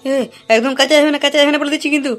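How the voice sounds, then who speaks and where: A man's voice: very high-pitched, monotone, rapid-fire, fairly bright tone, soft background music. A man voicing a grumpy, cowardly young village man in a comic cartoon, with slangy, blustering, stammering speech. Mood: defiant. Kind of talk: amateur comic cartoon acting.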